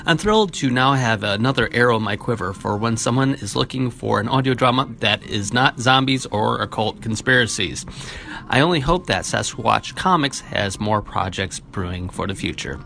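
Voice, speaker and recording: A man's voice: mid-range voice, lively intonation, normal speed, vocal fry, pushed and bright. A man leaving a friendly, chatty recorded message for a podcast as a listener. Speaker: listener caller.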